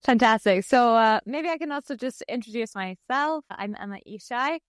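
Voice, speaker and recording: A woman with a smooth, clear voice and a South African accent softened by years abroad. She co-hosts a podcast, talking conversationally and feeling her way through phrases. The recording is dry, with hardly any room sound. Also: fairly high pitch, expressive, normal speed, no room sound. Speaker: podcast co-host.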